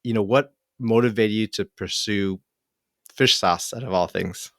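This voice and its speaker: A man in his forties hosting an interview, friendly and a little halting with frequent restarts. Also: on the low side, no room sound, clean studio sound. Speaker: interview host. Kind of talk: hosted interview.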